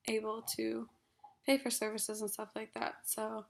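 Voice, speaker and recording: A young woman from Ohio in her twenties, a graduate counseling student introducing herself for a class, hoarse from a cold, halting with many ums and frequent throat clearing. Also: on the high side, little pitch movement, a little mellow. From Ohio, USA. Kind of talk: class self-introduction video.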